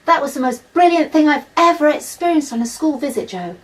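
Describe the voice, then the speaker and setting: A woman's voice: sits high, expressive, a little fast, far from the mic, voice pushed up. A woman narrator voicing an enthusiastic female author on a school visit, emphatically addressing a pupil in a children's audiobook. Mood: gushing, loud.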